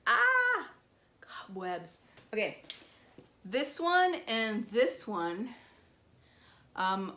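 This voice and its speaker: A woman's voice: medium pitch, fairly bright tone. A woman whose first language is Spanish, chatting in a bubbly, gushing way as she shows off her crafts. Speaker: crafting vlogger. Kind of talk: casual show-and-tell chat.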